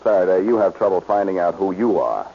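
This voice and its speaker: Flippant amateur detective, 1940s radio drama: deep-ish, very animated delivery, sardonic and unruffled. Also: male voice, quick pace. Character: wisecracking, cool-headed adult male amateur sleuth. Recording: muffled, noisy old radio recording.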